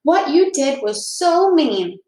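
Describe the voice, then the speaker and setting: A woman's voice: high-pitched, big pitch swings, slow pace, a little mellow, echoey room, voice pushed up, heavily acted. A woman reading a storybook as an earnest young schoolgirl, hurt but brave, with a pleading, emphatic delivery. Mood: determined.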